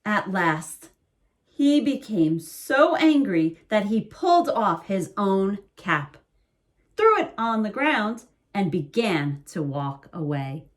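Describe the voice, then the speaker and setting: A woman reading a picture book aloud as a bedtime story, in a slow, soothing, expressive way. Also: mid-range voice.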